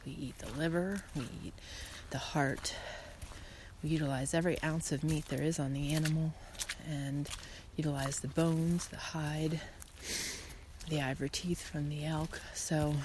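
A woman with a quite low-pitched voice talks casually as the host of a solo podcast. She is earnest and encouraging, breaking her thoughts into short phrases with pauses. Clear background noise runs under the recording. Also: fairly even intonation. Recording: clearly noisy background, some clicks.

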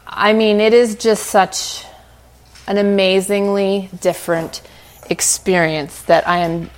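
A woman's voice: mid-range voice, little pitch movement, takes it slowly. Encouraging woman hosting a solo podcast. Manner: earnest, encouraging, conversational. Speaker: podcast host. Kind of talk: informal motivational talk, solo podcast.

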